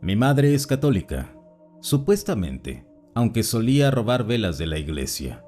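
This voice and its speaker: Low-voiced man narrating with lively pitch. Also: a little slow. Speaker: narrator reading listener stories. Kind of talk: scripted narration of written accounts. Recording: voice-over with faint background music.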